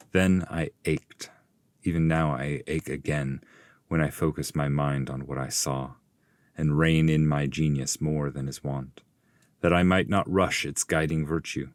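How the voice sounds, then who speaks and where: A man with a low-pitched voice reads epic poetry for an audiobook. His delivery is solemn, measured and literary. The sound is close and dry, with very little room in it. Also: no echo. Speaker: narrator and reader of epic poetry. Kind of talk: audiobook reading of classical verse.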